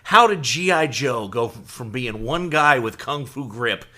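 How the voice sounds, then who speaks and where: A man's voice: mid-range voice, animated, unhurried, pushed and bright. A middle-aged man co-hosting a podcast in casual conversation. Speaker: podcast co-host. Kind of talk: casual chat.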